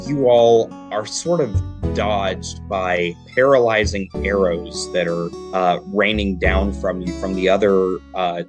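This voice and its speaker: A man narrating a tabletop game as game master, describing the scene at a steady pace. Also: deep voice. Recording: guitar music playing behind.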